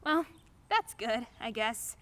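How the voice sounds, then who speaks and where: A woman's voice: fairly high pitch, sing-song, slow, a bit bright, full character voice. A woman acting in a radio play as a chatty, awkward, eager-to-please young neighbor who gushes and apologizes. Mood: helpful, eager. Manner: gushing, apologetic, hesitant.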